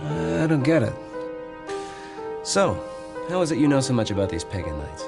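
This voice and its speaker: An actor playing a skeptical 1940s American government investigator in a video game, asking direct questions with dry sarcasm. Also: male voice, mid-range voice, big pitch swings, moderate pace, gravelly, over music. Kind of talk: video game cutscene dialogue.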